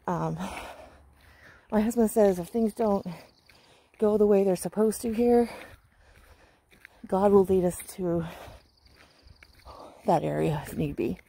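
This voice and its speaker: A woman's voice: mid-range voice, takes it slowly, gravelly, pauses often, dark tone. A middle-aged American woman from Washington State chats casually and folksily to viewers while walking outdoors, holding her phone at arm's length. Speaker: vlogger. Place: outdoors on a rural residential road. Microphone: handheld phone at arm's length. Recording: noisy outdoor phone recording with clicks.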